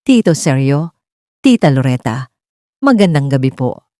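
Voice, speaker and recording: A woman's voice: very deep voice, very animated, unhurried, warm tone, no echo, studio quality, pitched below the performer's own voice, heavily acted. A woman voicing a respectful male suitor who defends his love in a serious, polite and direct manner. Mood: nervous, polite.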